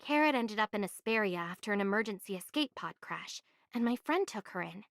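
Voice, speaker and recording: A woman's voice: medium pitch, expressive, fairly quick, fairly bright tone, dry recording, clean studio sound. A woman voicing a level-headed, assertive young envoy in an anime game, speaking in an earnest, articulate way. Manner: earnest, articulate, matter-of-fact.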